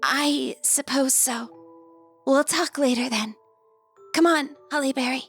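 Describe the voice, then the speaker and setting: A woman playing a gentle, hesitant cookie character with a breathy voice in a fan cartoon drama. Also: high-pitched, measured pace, faint music behind. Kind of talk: scripted fan audio drama acting.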